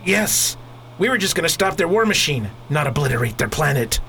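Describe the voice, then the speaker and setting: A man playing an earnest, measured alien ally in a space opera radio drama. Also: on the high side, very animated, creaky, pushed and bright, slight background noise, exaggerated voice. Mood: earnest, troubled. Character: earnest, formal alien ally, grateful and troubled.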